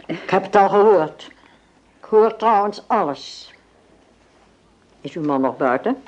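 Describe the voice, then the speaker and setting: A woman's voice: mid-range voice, animated, measured pace, a little mellow. Radio drama matriarch: elderly, stern and tired, curt delivery in a mid-range female voice. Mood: weary, curt. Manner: grave, curt, world-weary. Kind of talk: radio play acting.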